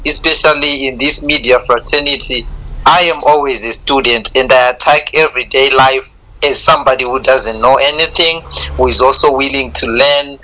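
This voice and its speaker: A man's voice: mid-range voice, fairly even intonation, moderate pace, sharp tone, poor audio. A Zimbabwean man answering interview questions in a reflective, earnest way, often repeating words as he starts a thought. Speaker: guest, content creator.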